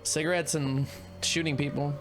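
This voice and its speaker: A man's voice: fairly high pitch, steady tone, normal speed, music in the background. A man streaming a game, giving casual, slangy commentary with a teasing, joking manner.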